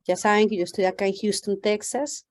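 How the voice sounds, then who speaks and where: A woman's voice: mid-range voice, fairly even intonation, a little fast. A woman physician welcoming parents on a video call, speaking hesitantly with many fillers. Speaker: pediatric geneticist giving a talk.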